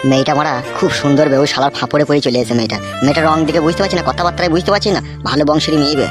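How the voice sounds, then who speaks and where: A crude, scheming village gang boss from a comedy cartoon, acted by a man. He talks quickly in a slightly high male voice with a swaggering manner. Music plays behind him. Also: lightly acted. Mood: smitten, brash. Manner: crude, swaggering slangy talk. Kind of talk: comic cartoon dialogue. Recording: music under the voice.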